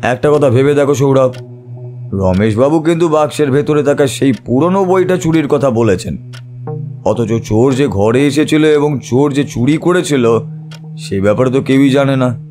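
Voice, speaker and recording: Man as measured, shrewd private detective. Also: medium pitch, dark tone, faint music behind, natural voice. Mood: reasoning. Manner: measured, analytical, authoritative. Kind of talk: audio drama dialogue.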